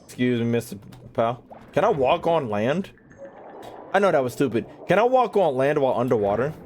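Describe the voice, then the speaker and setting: Lively, slangy young male streamer. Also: medium pitch, a little fast, slight background noise. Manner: slangy, jokey, echoes game lines. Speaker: game streamer. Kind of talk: casual live gameplay commentary. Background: faint game music.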